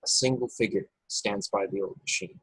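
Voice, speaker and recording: A man's voice: lowish voice, normal speed, a bit bright, breathy edge, dry recording, low-quality recording. A man speaking as narrator and organizer of an informal table read over a video call. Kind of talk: scripted narration and casual chat.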